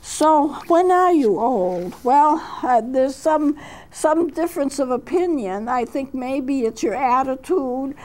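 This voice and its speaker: A woman in her eighties with a high voice talks about growing old. Her pace is unhurried, with little fillers and restarts along the way. She sounds like a seasoned counselor sharing what she has learned. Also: lively intonation, a little mellow, low-quality recording. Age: 80s. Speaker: counselor and show host. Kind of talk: reflective talk with read-aloud poetry.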